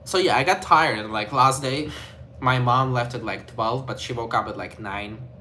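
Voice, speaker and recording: A man's voice: mid-range voice, normal speed, bright, forceful tone, slight background noise. Rambling Ukrainian-accented young man. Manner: rambling, full of "like" and "you know". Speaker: vlogger. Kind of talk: casual vlog chat.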